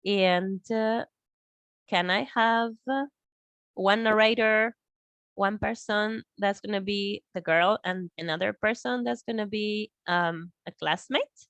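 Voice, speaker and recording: A woman's voice: mid-range voice, a bit bright, no echo. A woman from Argentina presenting an English teaching workshop in an online meeting, hesitant and full of fillers. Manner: slow, hesitant, with fillers and laughs. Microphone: video call.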